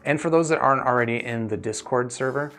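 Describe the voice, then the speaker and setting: A man hosting a casual livestream as a gear reviewer, chatting with viewers in a halting, think-aloud way. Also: medium pitch, fairly bright tone. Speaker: host, audio gear reviewer.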